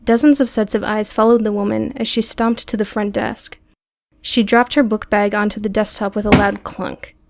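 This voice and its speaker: A woman's voice: medium pitch, little pitch movement, normal speed, gravelly. A woman narrating an audiobook story in a scripted, dramatic storytelling style.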